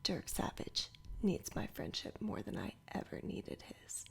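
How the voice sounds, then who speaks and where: A woman reading a children's adventure story aloud entirely in a soft whisper, in ASMR style. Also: unhurried. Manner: whispers throughout. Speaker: storybook reader.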